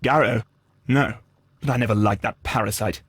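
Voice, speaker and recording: An actor playing a guarded, disdainful Victorian gentleman in a video game, answering formally and dismissively. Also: on the low side, normal speed, breathy edge, no room sound. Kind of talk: scripted video game voice acting.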